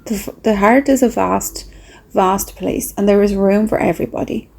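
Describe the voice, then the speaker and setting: A woman speaking softly and reflectively with pauses, in a breathy, husky voice, as she leads a gentle guided meditation. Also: mid-range voice. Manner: slow, soft, reflective, with pauses.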